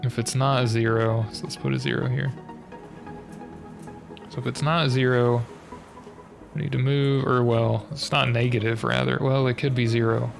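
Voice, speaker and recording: A young man with a stuffed-up, slightly nasal voice thinks aloud through a puzzle, hesitant and muttering as he works it out step by step. His voice has a gravelly, fry-laced edge at a middling pitch. Game music plays clearly behind him. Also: lively intonation, moderate pace, pauses often. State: stuffy nose, a bit nasal. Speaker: streamer.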